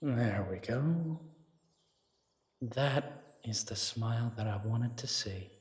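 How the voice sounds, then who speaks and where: Mitch, a man playing a husky werewolf detective. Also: mid-range voice, lively intonation, dry recording, heavily acted. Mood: warm, chatty. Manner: gentle, reassuring, unhurried. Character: male werewolf detective, protective, steady and reassuring. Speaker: audio drama performer. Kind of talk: romantic audio drama roleplay.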